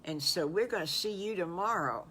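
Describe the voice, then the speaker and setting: A woman's voice: fairly low pitch, expressive, normal speed, fairly bright tone, slightly rough. A woman voicing an eager, friendly young pig playmate in a children's story, emphatic and reassuring. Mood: cheerful.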